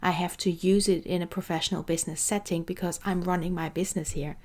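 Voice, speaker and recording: A woman language coach hosting a solo podcast, chatty and lively with playful asides; English is not her first language. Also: on the low side, fast talker. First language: non-English.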